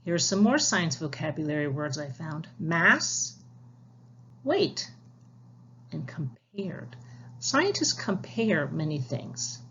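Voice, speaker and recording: A woman's voice: deep voice, sing-song, slow pace, fairly bright tone, muffled recording, a little hiss or hum. A woman teacher giving a read-aloud science lesson, addressing children directly with instructions and prompting questions. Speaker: teacher reading a picture book aloud to young pupils.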